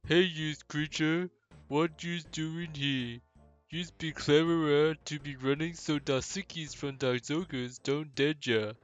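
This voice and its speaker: A man plays an earnest, simple-minded video game ogre with a slightly raised, clean voice. He talks slowly in childlike broken grammar, cautioning whoever listens. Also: on the high side, steady tone, clean tone, lo-fi sound, faint music behind, voice pushed up. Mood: wary, warning. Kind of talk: hobby game voice acting.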